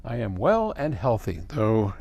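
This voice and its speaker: Older American man, lively swooping pitch. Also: unhurried, slightly rough, dry recording. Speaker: host, audio company head answering viewer questions. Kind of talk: casual talk answering a viewer question.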